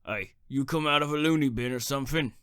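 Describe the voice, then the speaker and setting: Guy doing a gruff graveyard caretaker, scolding and colloquial, horror radio play, close dry sound. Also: medium pitch, quick pace, a bit bright, no room sound, lightly acted. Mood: suspicious, impatient. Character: gruff, suspicious male cemetery caretaker. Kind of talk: audio horror drama acting.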